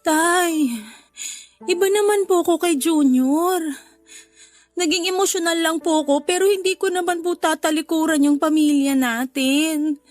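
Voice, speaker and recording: A woman's voice: very high voice, a little slow, no room sound, soft background music. A woman playing an exhausted, devoted eldest daughter of a poor family in an emotional, pleading radio drama style. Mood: earnest, resolute. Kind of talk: Tagalog radio drama acting.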